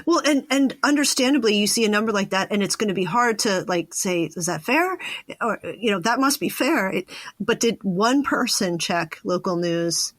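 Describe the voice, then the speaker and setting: A woman hosts a conversational interview in a mid-pitched voice, recorded dry. She talks casually and emphatically, repeating phrases to drive a point home. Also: lively intonation, moderate pace, no echo. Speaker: podcast host, interviewer.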